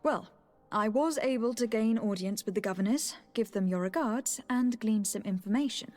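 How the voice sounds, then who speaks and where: An actress playing a loyal young noble envoy in a video game, measured, formal and polite. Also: female voice, on the high side, a little mellow, faint music behind, lightly acted. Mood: composed, reporting. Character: composed, diplomatic young noblewoman, loyal envoy to her cousin. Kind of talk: video game cutscene acting.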